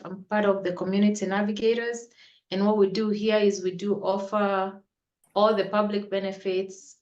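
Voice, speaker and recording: A woman community navigator giving a webinar presentation from her office over a video call. She talks in a halting way, leaning on emphatic "we do" phrasing and often restarting her sentences. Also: mid-range voice, highs cut off. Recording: echoey room.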